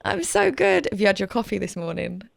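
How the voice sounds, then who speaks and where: A young woman hosting a podcast, talking in a chatty, animated, self-deprecating way. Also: medium pitch, a little fast, gravelly, dry recording. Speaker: podcast host. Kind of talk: casual chat.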